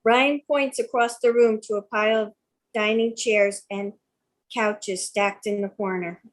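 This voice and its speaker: An older woman reads screen directions from a script. Her pace is slow, and her pitch sits in the middle for a woman. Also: steady tone. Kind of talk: reading screen directions aloud.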